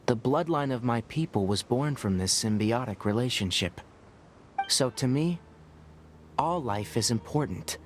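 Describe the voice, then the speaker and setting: A composed young forest ranger who explains things patiently, voiced by a man. His voice sits at an ordinary middle pitch, and the game audio has a faint noise behind it. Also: expressive, natural voice. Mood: earnest. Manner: measured, thoughtful, gently lecturing. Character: calm young scholarly male forest ranger. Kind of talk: video game voice acting.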